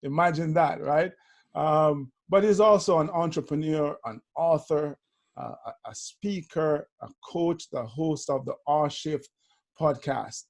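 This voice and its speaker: A man's voice: on the high side, a little slow. Upbeat Jamaican-accented male host. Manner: upbeat, loose conversational delivery with fillers. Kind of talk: podcast interview.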